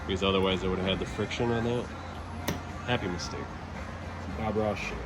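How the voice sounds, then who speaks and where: A man's voice: low voice, fairly even intonation, a little fast. A man giving casual, informal commentary as he tinkers, musing aloud in short fragments. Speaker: DIY truck builder vlogger. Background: music playing in the background.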